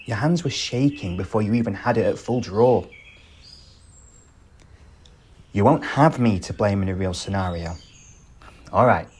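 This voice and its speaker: Man playing a wry, teasing bandit protector, lively intonation. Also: fairly low pitch, slight background noise. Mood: patient instruction.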